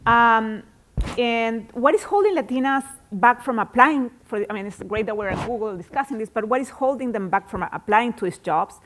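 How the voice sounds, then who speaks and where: Higher-voiced woman moderating a panel. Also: moderate pace, a bit bright. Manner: questioning, brief affirming replies.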